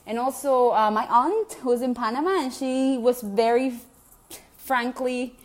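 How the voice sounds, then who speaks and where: Chatty Venezuelan woman, high voice. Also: a little slow. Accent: Venezuelan Spanish. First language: Spanish. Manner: chatty and encouraging, frequent ums and restarts. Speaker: gut health coach. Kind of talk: casual direct-to-camera talk to an online group.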